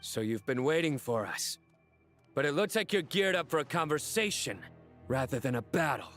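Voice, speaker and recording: A man playing a stern, suspicious general in a video game, speaking gravely and tersely. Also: on the high side, animated, moderate pace, fairly bright tone, faint music behind. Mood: defiant. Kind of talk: scripted video game voice acting.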